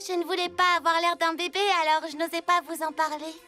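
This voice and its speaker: Cartoon girl hero: very high, sharp tone, forthright, light music behind. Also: little pitch movement, fast talker. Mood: confiding. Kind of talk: French cartoon dubbing.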